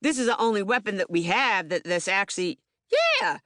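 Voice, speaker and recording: A woman's voice: mid-range voice, brisk, a bit bright, no room sound, studio quality, lightly acted. A woman performing a theater monologue as an agitated, streetwise young man, speaking emphatically and colloquially with stammered repetitions. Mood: indignant, insistent. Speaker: actor in documentary theater. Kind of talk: dramatic monologue, audiobook theater.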